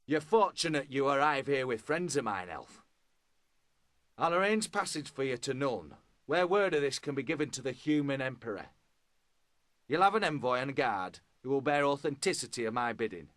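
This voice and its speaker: An actor playing a gruff, proud old dwarf king in a fantasy video game, commanding and theatrical. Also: medium pitch, very animated, moderate pace, fairly bright tone, no room sound. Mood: grave, commanding.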